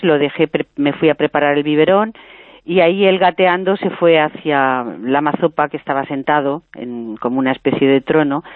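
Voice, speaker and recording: An older woman calling in by phone, slowly recounting her memories with some hesitations. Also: sits low, no echo. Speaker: guest's mother, phone caller. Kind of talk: phone-in interview storytelling. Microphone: telephone. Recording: tinny dry phone line.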